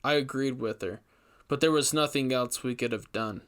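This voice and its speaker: A man playing an investigator who recounts a frightening ordeal in measured, emphatic, scripted first-person narration. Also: medium pitch, close to an everyday voice. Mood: tense, recounting. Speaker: narrator reading a story.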